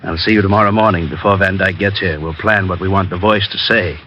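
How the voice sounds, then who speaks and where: An actor playing a smooth con man posing as a doctor, soothing and sly. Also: low-pitched, fairly even intonation, quick pace, sharp tone, a little hiss or hum. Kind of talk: 1940s radio acting. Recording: muffled old radio broadcast with music.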